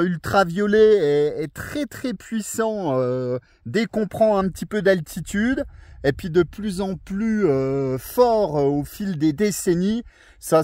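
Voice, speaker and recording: A man's voice: sits high, a little mellow, no room sound, clean studio sound. A man from France, an amateur radio operator chatting casually during a radio contact. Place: outdoors on a mountain, over a thousand metres.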